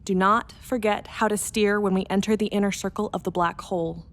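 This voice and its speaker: A woman's voice: medium pitch, steady tone, brisk, lightly acted. A woman playing a determined, nostalgic pilot in a radio play monologue, earnest and insistent as she recites to herself. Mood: determined, urgent.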